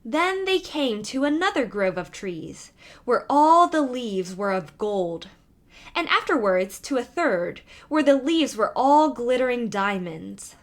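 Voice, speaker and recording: A woman's voice: fairly high pitch, sing-song, slow pace. A woman reading a fairy tale aloud as a storybook narrator.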